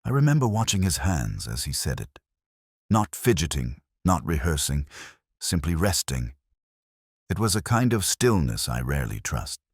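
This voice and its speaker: An actor playing an ageing, reflective detective, narrating a literary first-person monologue in a measured, melancholy, brooding way. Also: male voice, a bass voice, breathy edge, dry recording, studio quality, heavily acted.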